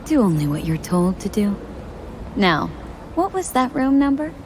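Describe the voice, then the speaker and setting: A woman's voice: mid-range voice, big pitch swings, normal speed, a little mellow, a little hiss or hum, lightly acted. A woman playing a tough, curt mercenary in a video game, speaking in a blunt, commanding way. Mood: stern, domineering. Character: tough, curt female mercenary posing as corporate dealer.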